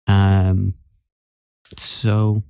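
Man, deep voice, slow pace, flat intonation, casual hesitant talk. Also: vocal fry, clean tone, no echo, studio quality. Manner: hesitant, halting. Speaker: podcast guest actor. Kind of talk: casual discussion.

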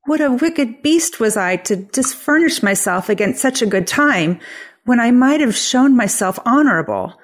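A woman playing an effusive, flattering, two-faced Athenian lord in a theatrical Shakespeare play reading. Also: a little high, normal speed. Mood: feigned regret. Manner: gushing, theatrical.

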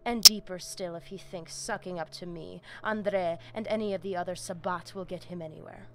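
A woman plays a vampire gang boss in a video game, speaking low. She is firm, commanding and menacing, measuring out her threats over a noisy ambient background. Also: steady tone, fairly quick, close to an everyday voice. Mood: cold, scornful. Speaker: vampire gang leader character. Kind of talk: scripted video game dialogue.

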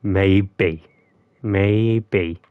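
Slow-talking man streamer. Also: steady tone, clear, resonant tone, no echo, narrow, tinny audio. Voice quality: deep voice. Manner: playful, jokey, bursts into silly singing. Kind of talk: casual live-stream chat while gaming.

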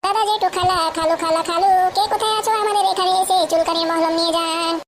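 A woman's voice: very high-pitched, steady tone, quick pace, over music. A woman voicing a cheeky, mischievous village huckster in a comedy cartoon, with boisterous street-seller patter. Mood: hawking patter. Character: mischievous cheeky village sidekick hawking cheap ointment. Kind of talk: comic cartoon dialogue.

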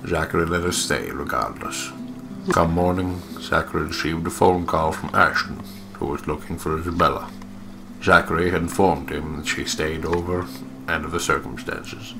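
A man's voice: very deep voice, normal speed, vocal fry, noisy background. A man co-hosting a game playthrough, mixing casual commentary with reading aloud and joking asides. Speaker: co-host of a let's play, reading the on-screen text aloud.

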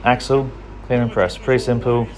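A young man from a strongman gym, giving a relaxed, practical training tutorial in plain everyday words. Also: mid-range voice, moderate pace. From Australia. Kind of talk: casual training tutorial voice-over.

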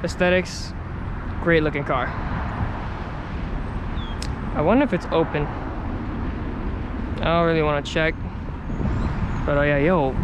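High-voiced young guy vlogging outdoors. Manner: laid-back, swears freely, chatty about cars. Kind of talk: casual vlog. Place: outdoors at a car lot and in a truck cab. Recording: outdoor traffic and wind noise.

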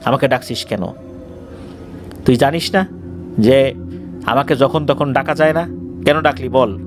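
A man voicing a menacing, defiant evil jinn in a horror story, with slow, threatening phrasing. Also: medium pitch, music in the background, voice pushed down, natural voice. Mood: angry, demanding.

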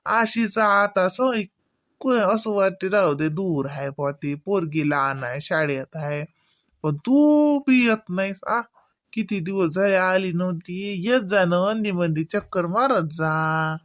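A woman's voice: fairly low pitch, expressive, takes it slowly, no echo, clean studio sound. A woman playing a modest, fretful old village grandmother in a rural comedy cartoon, grumbling in homely Varhadi Marathi. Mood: gently complaining. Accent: Varhadi Marathi, Vidarbha.

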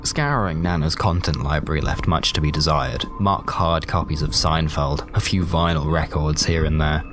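A British man tells a first-person comic story in a low voice. His delivery is wry and understated, and loud music plays behind him throughout. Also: normal speed.

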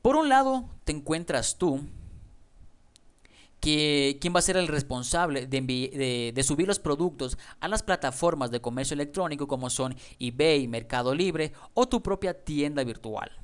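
Male tutorial narrator, clear resonant voice, friendly and direct with the viewer, close-mic voice-over. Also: fairly high pitch. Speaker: online business instructor.

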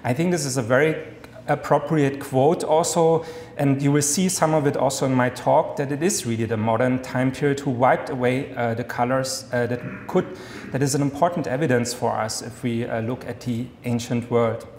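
A man in his thirties with a German accent gives an enthusiastic academic lecture from a podium, talking fast with many fillers and restarts. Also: medium pitch, darkish tone, slight background noise. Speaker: guest lecturer. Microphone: podium mic. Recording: echoey lecture hall, long reverb.

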